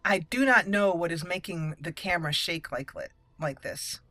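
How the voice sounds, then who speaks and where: A woman giving chatty gameplay commentary as host, slipping now and then into measured in-character roleplay speech. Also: on the low side, pushed and bright, no echo. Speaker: let's play video host. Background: game music.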